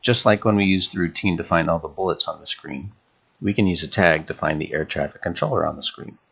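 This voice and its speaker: Very low, gravelly man explaining code. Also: a little fast, a bit bright, dull audio. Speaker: game development tutorial host. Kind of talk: instructional screencast narration.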